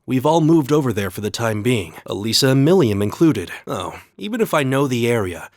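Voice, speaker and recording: A calm young warrior hero from a video game, earnest n kinda formal, mid pitch, lively intonation. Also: male voice, dry recording, clean studio sound. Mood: sincere, warm. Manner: earnest, measured, slightly formal phrasing. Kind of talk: English video game voice acting.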